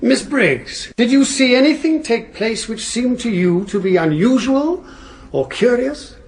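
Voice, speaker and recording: An actor playing a formal, precise prosecuting barrister who questions witnesses pointedly in a radio courtroom drama. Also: male voice, very high voice, lively intonation, a little slow.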